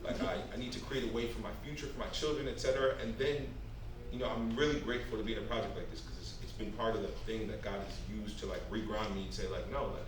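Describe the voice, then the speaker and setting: A young man chatting casually and reflectively, with an animated, slangy, conversational way of talking. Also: on the low side, fast talker. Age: young adult. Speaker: theater performer in a panel discussion. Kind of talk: casual chat, personal reflection. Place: echoey room at a staged reading talkback. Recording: very echoey, distant, noisy room recording.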